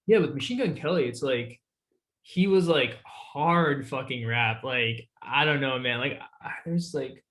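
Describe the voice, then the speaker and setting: A man's voice: mid-range voice, measured pace, a bit bright, slight background noise. Easygoing male interviewer. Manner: easygoing, chatty, swears casually. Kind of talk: casual interview chat.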